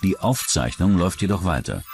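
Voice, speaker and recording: Very deep-voiced male documentary narrator. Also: expressive, fairly quick, gravelly, darkish tone, faint music behind. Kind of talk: scripted true-crime narration.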